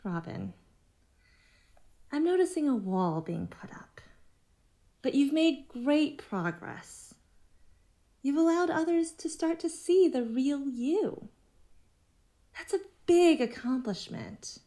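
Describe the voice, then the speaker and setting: A manipulative female cult leader in an audio drama. Her voice is high and velvety soft, swooping through patronising, measured phrases like a controlling therapist. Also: lively intonation, full character voice. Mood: patronising praise.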